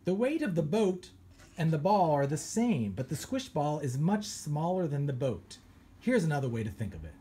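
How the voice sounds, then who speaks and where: A man, a children's author, reads a science picture book aloud with animated storytelling and pirate flair. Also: on the high side, moderate pace, slightly rough. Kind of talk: expressive read-aloud.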